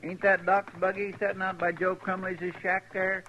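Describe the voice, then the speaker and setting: An actor playing a deferential, folksy American frontier sidekick in a 1950s radio western. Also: male voice, high voice, monotone, moderate pace, natural voice. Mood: eager, deferential. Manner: folksy rural speech, polite and deferential. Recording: dull, noisy old radio recording.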